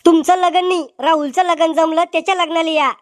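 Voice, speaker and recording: A man voicing a blunt, mocking young village man in a cartoon, with a Varhadi Marathi accent and a pitched-up voice. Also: steady tone, fast talker, no room sound, studio quality.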